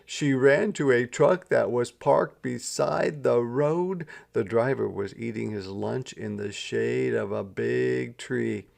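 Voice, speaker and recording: A man narrates a children's storybook at an unhurried pace. His medium-pitched voice has a gravelly creak, and he phrases each line deliberately and dramatically. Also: a little mellow. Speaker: storyteller reading a children's book aloud. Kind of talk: expressive scripted storybook narration.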